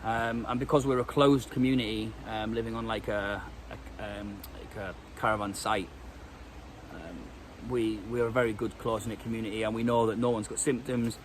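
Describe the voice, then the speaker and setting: A man's voice: fairly low pitch, fairly even intonation, normal speed, rough recording. Stuffy british guy with a cold, rambling vlog chat, lots of ums, bit of outdoor noise. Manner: rambling, many ums and restarts. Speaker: vlogger. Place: outdoors in woodland.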